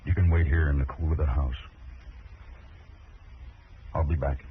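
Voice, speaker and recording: A man's voice: rumbling low, steady tone, unhurried. An American actor playing a stern, middle-aged rancher father in a radio western, terse and blunt. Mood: grimly resolute. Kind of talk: 1950s radio western acting. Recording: tinny, faintly noisy old radio broadcast.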